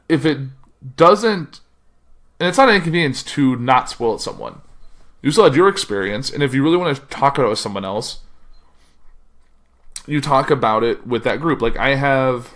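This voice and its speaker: A man's voice: medium pitch, fairly bright tone. A man hosting a solo podcast in a casual monologue, thinking aloud and often trailing off mid-sentence. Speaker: podcast host.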